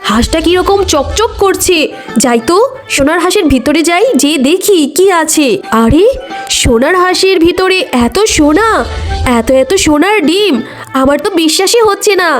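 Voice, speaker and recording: A woman acts a mean, greedy aunt from a children's cartoon. The voice is very high, with music in the background. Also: expressive, moderate pace. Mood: gleeful, greedy.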